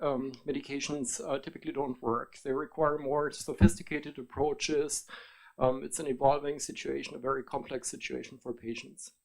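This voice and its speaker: A middle-aged man with a German accent giving formal testimony to a committee, pausing often with ums and uhs as he explains. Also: fairly high pitch, vocal fry, poor audio. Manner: hesitant, many ums and uhs, measured explanation. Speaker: testifying witness, biotech executive.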